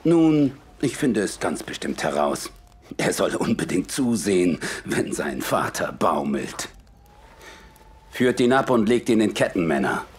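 An actor playing a menacing commander giving orders to his men in an audio drama, with a husky voice. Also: sits low, very animated, a little slow, exaggerated voice.

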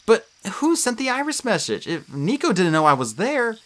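A woman's voice: fairly high pitch, big pitch swings, normal speed, voice pushed up. A woman voicing a wry teenage boy demigod with a dry way of talking. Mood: uneasy.

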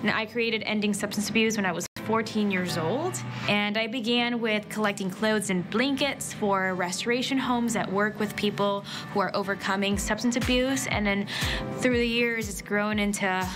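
A woman's voice: medium pitch, fairly even intonation, normal speed. A woman in her twenties from California with an American accent, telling her own story as a pageant contestant in interview answers. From California, United States. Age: 20s. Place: indoor interview set with backdrop. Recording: loud music bed under voice. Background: music.

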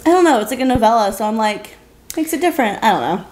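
A woman's voice: a little high, sing-song, fast talker, darkish tone. A young adult woman co-hosting a podcast, chatting casually in an unbothered, matter-of-fact way. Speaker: podcast co-host. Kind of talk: casual chat. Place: living room, on a couch.

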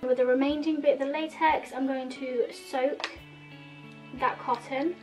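Woman, 30s, high bright voice, nasal sore throat, chatty explainer, distant mic with faint music. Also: fairly even intonation, a little slow. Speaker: makeup vlogger. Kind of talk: casual tutorial talk to camera. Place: indoor room in front of a red plaid backdrop.

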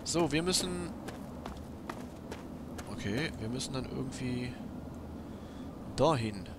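A man's voice: mid-range voice, animated, takes it slowly. Chatty man commenting gameplay. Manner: chatty, exclaiming at surprises. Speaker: let's play gamer. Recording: loud game noise under voice.

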